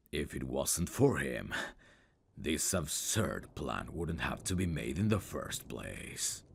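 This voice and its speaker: A man plays a stern royal prince in an intimate fantasy audio drama. His voice is extremely low and gravelly, with slow, formal phrasing that swings expressively. He sounds condescending and used to command. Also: a little hiss or hum, heavily acted. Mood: flustered, defensive.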